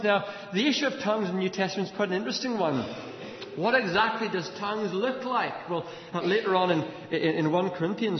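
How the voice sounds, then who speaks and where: Echoing hall, Irish male preacher, high-pitched voice, dramatic sermon storytelling, rhetorical questions. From Ireland. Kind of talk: sermon, expository storytelling from a Bible passage. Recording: echoey large hall, slightly dull sound.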